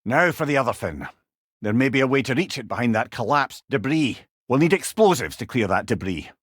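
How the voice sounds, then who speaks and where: A man's voice: medium pitch, very animated, brisk, slightly rough, dry recording, clean studio sound. A man with a Scottish accent playing a witty, learned older companion, a talking head in a video game, wry and eloquent with old-fashioned wording. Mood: wry, advising. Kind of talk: video game character dialogue.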